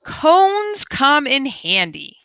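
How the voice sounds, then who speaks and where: A woman's voice: high voice, huge pitch swings, extremely slow, vocal fry, fairly bright tone, no echo, clean studio sound. A woman teacher reading a children's book aloud and adding simple explanations for young pupils. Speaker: primary school teacher.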